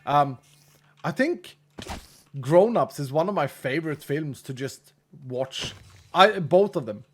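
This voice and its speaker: A man's voice: a little high, lively intonation, slow, husky, faint music behind. A Swedish-born man, Swedish his first language, speaking English with a Swedish accent in a slightly raised voice. He chats loosely with his stream's viewers, laughs at himself and often leaves sentences hanging. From Sweden. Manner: chatty, self-deprecating, laughs often, trails off mid-sentence. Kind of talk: casual chat while gaming, reading chat.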